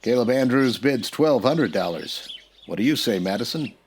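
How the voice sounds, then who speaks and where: An actor playing a steady, patient Old West marshal in a radio western, straight-faced and measured. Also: male voice, mid-range voice, background noise, natural voice. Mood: businesslike.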